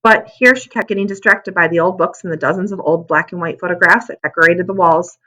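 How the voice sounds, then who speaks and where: A woman narrating a children's book aloud as an expressive storyteller. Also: lowish voice, fast talker, rough recording.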